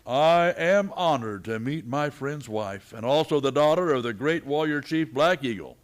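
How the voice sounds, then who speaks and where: An actor playing a steady, commanding, fair-minded frontier marshal and narrator in a western radio play. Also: male voice, fairly high pitch, normal speed, close to an everyday voice. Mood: courteous. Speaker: lead character and narrator.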